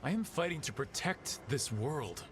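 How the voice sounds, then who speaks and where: A man's voice: a little high, big pitch swings, moderate pace, a bit bright, a little hiss or hum. A man playing a determined, heroic protector in a video game, speaking directly and insistently as he pleads a case. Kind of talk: dramatic video game acting.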